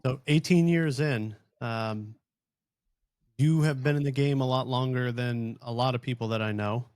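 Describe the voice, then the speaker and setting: A man hosting a podcast interview, asking questions in a relaxed, casual way. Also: mid-range voice, normal speed, smooth, dry recording, clean studio sound. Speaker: podcast host.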